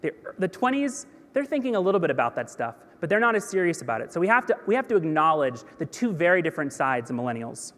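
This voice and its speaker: A man in his thirties speaks from a large auditorium stage into a headset mic, his slightly high voice carrying a long hall reverb. He delivers a business keynote in an energetic, conversational way, dropping in self-deprecating asides. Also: fast talker, hardly any pauses. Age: 30s.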